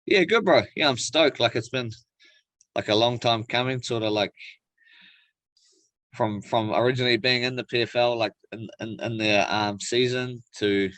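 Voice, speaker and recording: A man's voice: lowish voice, a bit bright, no room sound, poor audio. A man with a New Zealand accent, a fighter chatting casually in an interview in laid-back, slangy phrases. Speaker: professional MMA fighter being interviewed.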